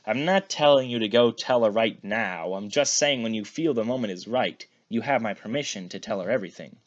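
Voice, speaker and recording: A man's voice: fairly low pitch, a little fast, muffled recording, lightly acted. A man playing an ancient primordial god who is a teasing, fond father in a story. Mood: fond reassurance.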